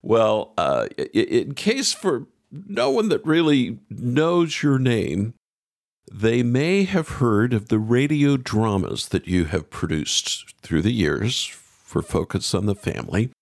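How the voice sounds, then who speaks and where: A man's voice: medium pitch, huge pitch swings, slow, gravelly, dry recording, studio quality. An American man hosting a radio interview programme, introducing things and putting questions to a guest.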